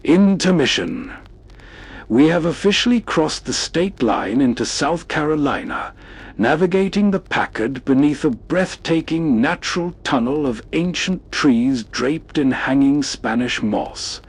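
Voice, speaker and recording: A man's voice: fairly high pitch, animated, measured pace, husky. A man hosting with scripted, atmospheric narration. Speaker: host.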